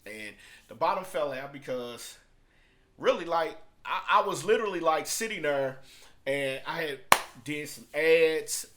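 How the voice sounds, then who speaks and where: Halting, emphatic man vlogging casually. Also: on the high side, animated, a bit bright, a little hiss or hum. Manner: halting, repetitive, emphatic, with swings between subdued and hyped delivery. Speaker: music producer and entrepreneur hosting a video book review. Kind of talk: casual unscripted vlog monologue.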